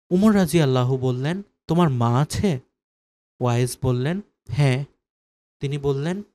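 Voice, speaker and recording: A man's voice: fairly high pitch, warm tone. A man reading scripted religious stories as a narrator.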